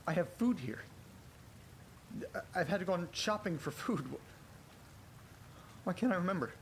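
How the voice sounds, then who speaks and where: Actor as frightened man, high slow halting voice. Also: animated. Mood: uneasy confusion. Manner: halting, muttering, repeats himself, swears. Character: frightened, bewildered man trapped near his haunted house. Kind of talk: first-person horror audio drama monologue. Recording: clear background noise, vehicle rumble.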